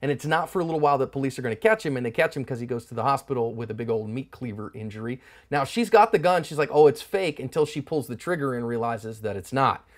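A man's voice: mid-range voice, expressive, fast talker. A middle-aged American man hosting an instructional self-defense commentary, speaking in an emphatic, teacherly way with folksy phrasing. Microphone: lapel mic.

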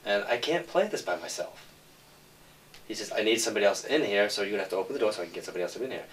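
A man's voice: on the low side, lively intonation, rapid-fire, fairly bright tone, distant mic, slight background noise. A man casually explaining a story idea, quoting the characters' dialogue back and forth.

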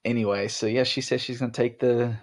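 A man's voice: medium pitch, steady tone, a little fast, vocal fry. A young man hosting a podcast, chatting casually with his wife, rambling with lots of ums and restarts. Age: young adult. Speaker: podcast host.

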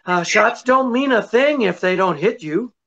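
A man's voice: sits high, expressive, unhurried, gravelly, pushed and bright, full character voice. A middle-aged man playing a genial, unflappable detective in old-time radio mystery style, with hesitant, wry interjections. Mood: alert, reassuring. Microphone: video call. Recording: dull lo-fi sound, music behind.

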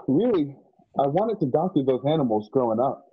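An actor playing a plainspoken, world-weary cowboy companion in a Western radio drama, with folksy rustic speech. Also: male voice, medium pitch, sing-song, moderate pace, breathy edge, narrow, tinny audio. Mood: weary, musing.

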